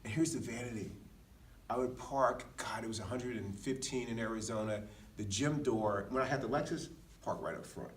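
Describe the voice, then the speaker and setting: A man's voice: mid-range voice, moderate pace, far from the mic, a little hiss or hum. A middle-aged American man telling personal stories casually in a candid, self-deprecating way, often saying "man" and "dude.". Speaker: podcast speaker, former Marine.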